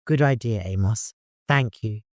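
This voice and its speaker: A man's voice: on the low side, a little slow, darkish tone, no echo, studio quality, close to an everyday voice. A man voicing a commanding but caring father who leads a caravan, in an audiobook. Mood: calm, approving.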